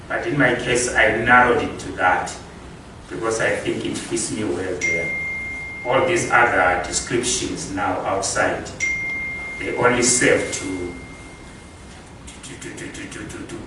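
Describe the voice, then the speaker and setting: A man's voice: fairly low pitch, slow. A man from Zimbabwe in the audience answering a question, hesitant and repeating words as he searches for them. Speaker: audience member. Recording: echoey hall, from far off, noise.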